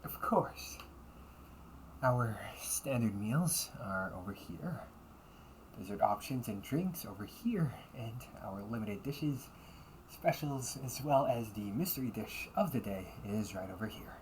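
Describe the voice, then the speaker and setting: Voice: mid-range voice, animated, unhurried, a little mellow, natural voice, poor audio. Flustered delinquent butler-cafe waiter over background noise, acted out. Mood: courteous, restrained. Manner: stiffly formal service politeness, slipping into muttered threats. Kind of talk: amateur audio roleplay.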